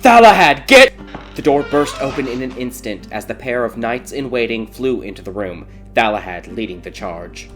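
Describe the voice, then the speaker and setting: A man's voice: lowish voice, fairly even intonation, moderate pace, few pauses, faint music behind. A man reading a scripted fairy tale as a dramatic audiobook narrator.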